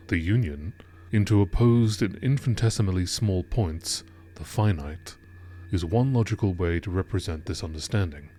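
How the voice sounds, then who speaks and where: Deep-voiced man, documentary-style narrator. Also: dark tone. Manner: measured, formal reading. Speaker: narrator reading game lore entries.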